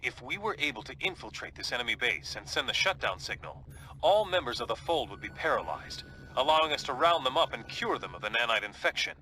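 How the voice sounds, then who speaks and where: Calm strategist over clear noise. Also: male voice, medium pitch, fairly quick, rough recording. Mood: measured, hopeful. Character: male strategist calmly explaining a risky plan. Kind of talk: scripted game cutscene briefing.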